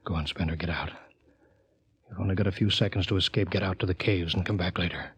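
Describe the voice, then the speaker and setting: An actor playing a grave, conscience-torn spaceship captain, earnest and commanding, in 1950s radio drama style. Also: male voice, low-pitched, fairly even intonation, fast, fairly bright tone, husky, no room sound, full character voice. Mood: anguished urging. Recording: noisy vintage 1950 radio recording.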